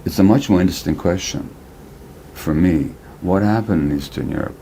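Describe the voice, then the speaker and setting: An older man with a very deep, dark and mellow voice, talking in a measured, deliberate way. Faint noise sits under the played-back interview clip. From Hungary. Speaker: therapist, interviewee.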